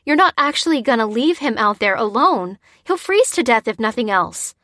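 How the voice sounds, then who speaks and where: A bold, alarmed woman in an audiobook, acted out by a female narrator. Her voice is raised in pitch, urgent and protesting on someone else's behalf. It is recorded dry. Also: animated, moderate pace, pushed and bright, dry recording. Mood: pleading, protesting. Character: bold, compassionate, alarmed woman who challenges authority.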